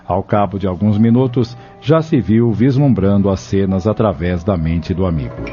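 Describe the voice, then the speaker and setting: A man's voice: low voice, normal speed, music in the background. A man narrating an audio novel from a script. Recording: dull lo-fi narration recording.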